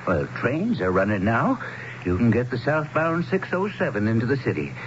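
A man's voice: medium pitch, wildly swooping pitch, normal speed. An actor with an American accent playing a friendly, hospitable small-town man in a radio drama, folksy and neighborly. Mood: helpful. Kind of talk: 1970s radio drama acting. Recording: muffled, noisy old radio recording.

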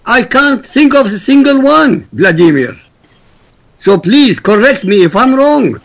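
A native Arabic-speaking man from Iraq argues as a panel guest with a raspy, high voice. His pitch swings widely as he makes blunt, boastful, emphatic points. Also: sing-song, a little slow, a bit bright. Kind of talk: combative political debate.

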